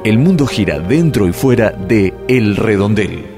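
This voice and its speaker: A man's voice: fairly low pitch, animated, measured pace. A man reading scripted radio show taglines as a station announcer. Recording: music behind the voice.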